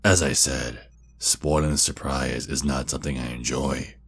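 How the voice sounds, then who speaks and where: A man playing a possessive, menacing vampire kidnapper in an ASMR roleplay, speaking intimately and deliberately. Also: extremely low pitch, fairly even intonation, fairly bright tone, exaggerated voice. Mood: smug, teasing. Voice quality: gravelly, husky, breathy. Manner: slow, intimate, deliberate, with drawn-out pauses.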